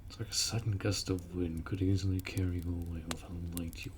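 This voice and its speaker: A man playing a gruff, dutiful orc warrior in a fantasy roleplay audio drama, blunt and protective as he speaks to the listener. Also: sits low, steady tone, fast, noisy background, lightly acted. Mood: curious, gentle.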